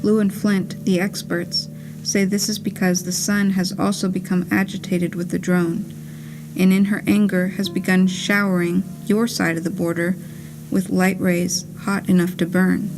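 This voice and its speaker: A woman reading the part of a wry, anxious, lovesick traveller in a scripted puppet play, in a measured, confessional storytelling manner. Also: fairly low pitch, little pitch movement, natural voice, poor audio. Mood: wry worry. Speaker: reader performing a puppet play. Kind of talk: scripted first-person storytelling, letter-like monologue. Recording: live theatre reading over guitar music.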